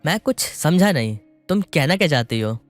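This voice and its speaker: A man playing a young husband and son in a dramatized moral story, calm, reasonable and matter-of-fact. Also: a little high, normal speed, faint music behind. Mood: mildly reproving.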